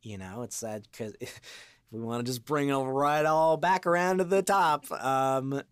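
A man in his twenties chatting reflectively as an interview guest, earnest and halting as he gathers his thoughts. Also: mid-range voice, fairly bright tone, clean tone, dry recording. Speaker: voice actor, podcast guest. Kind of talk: casual reflective interview chat.

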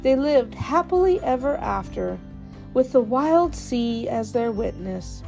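A woman reading scripted children's folk tales in a measured, deliberate storybook delivery. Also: a little high, warm tone.

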